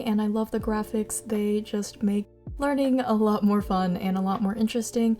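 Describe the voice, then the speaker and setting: A young woman whose first language is Mandarin, chatting casually in a vlog voice-over about how she studies, with plenty of likes and ums. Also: medium pitch. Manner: chatty, self-deprecating, lots of likes and ums. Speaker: vlogger teaching her own study method. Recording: soft music behind.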